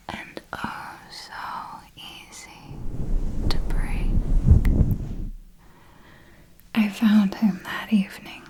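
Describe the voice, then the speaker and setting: A woman voicing a seductive, predatory female vampire mentor in a breathy whispered ASMR roleplay, with lingering, deliberate phrasing. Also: mid-range voice, close to an everyday voice, rough recording. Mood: hushed, predatory.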